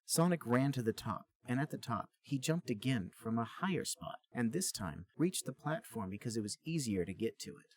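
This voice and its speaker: A man's voice: mid-range voice, lively intonation, moderate pace, few pauses. A man co-hosting a podcast, reading a story aloud as narrator and chatting casually between passages.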